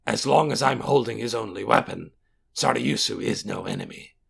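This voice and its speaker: A man voicing a proud, calculating monstrous warrior in an audiobook, with a raspy, gravelly voice and a haughty, deliberate, formal way of speaking. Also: lowish voice, exaggerated voice. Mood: scornful, measuring. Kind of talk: audiobook character voice.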